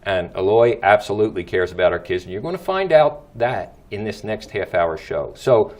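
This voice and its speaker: A man's voice: fairly low pitch, expressive, moderate pace. A middle-aged man hosting a local talk show, emphatic and repeating his points to viewers. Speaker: host.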